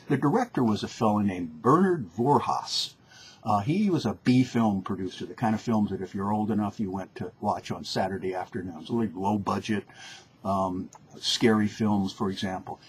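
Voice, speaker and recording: A man with a fairly low voice explains like a lecturer, informal and instructive. He rephrases points for emphasis. There is faint noise behind him. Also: poor audio.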